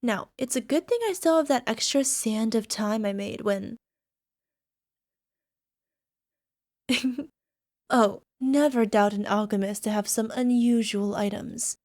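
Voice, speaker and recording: A woman's voice: fairly high pitch, a little slow, a little mellow, no room sound, clean studio sound, lightly acted. A woman playing a lovesick, possessive young alchemist in an ASMR girlfriend roleplay, speaking in a coy, coaxing, sweetly menacing way. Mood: pleased, chatty. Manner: coy, coaxing, giggly, tag questions. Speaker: voice actor.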